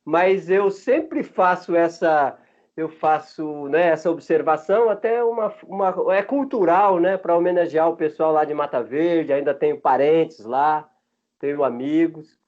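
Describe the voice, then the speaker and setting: A man's voice: a little high, darkish tone. Chatty Minas Gerais man in his fifties. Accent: Minas Gerais Brazilian Portuguese. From Minas Gerais, Brazil. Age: 50s. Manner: chatty, laughs often, halting restarts. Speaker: former footballer, guest. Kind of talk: relaxed storytelling interview. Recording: dull lo-fi sound.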